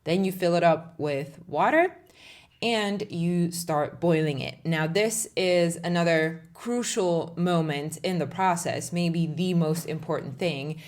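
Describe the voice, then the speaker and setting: A young woman speaks English with a light Czech accent, her voice sitting a little low. She walks through a recipe in a relaxed, conversational voice-over, explaining each step like she's chatting with a friend. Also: slow. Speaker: home cooking vlogger.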